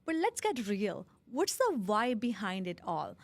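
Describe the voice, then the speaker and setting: A woman's voice: mid-range voice, very animated, unhurried, dry recording. A woman hosting an upbeat interview show, speaking in an effusive, encouraging way.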